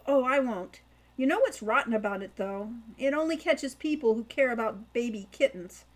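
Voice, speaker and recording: A woman's voice: a little high, animated, moderate pace, poor audio. A woman voicing a plucky, sly young girl in an audiobook, breezy and cheeky. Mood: cheeky, eager. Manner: breezy, carefully casual, cheeky.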